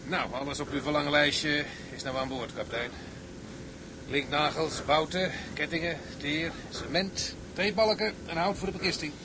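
Crewman, played by a man: brisk male, fairly high pitch, radio drama, clear ship noise behind. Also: steady tone, close to an everyday voice. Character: brisk crewman reporting to his captain. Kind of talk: Dutch radio drama acting.

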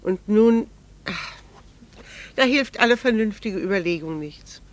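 Female actor as middle-aged wife, genteel, soulful, wistful, gently teasing, 1960s radio play. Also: medium pitch, animated, a little slow, close to an everyday voice. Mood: wistful, pained.

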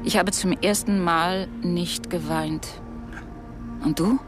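A woman's voice: lowish voice, steady tone, takes it slowly. An actress playing a wistful, bereaved mother in her thirties, speaking with restrained tenderness in an intimate radio drama. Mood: composed sorrow. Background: music under the voice.

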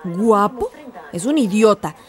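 A woman's voice: medium pitch, animated, slow pace. A woman acting an outspoken, scornful migrant woman in a radio drama. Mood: indignant. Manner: blunt, scornful. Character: outspoken, indignant migrant woman scolding a newscast.

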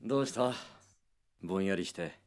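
A down-to-earth young man in a radio drama, speaking as a concerned companion. His voice sits low. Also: animated, moderate pace, a little mellow.

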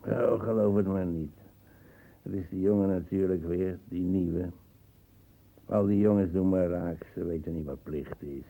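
Husky, gravelly old army veteran. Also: male voice, sits low, warm tone, full character voice, rough recording. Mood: scornful. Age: older. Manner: rambling, disdainful, halting. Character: grumbling old colonial army veteran, gun collector. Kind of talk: radio play acting.

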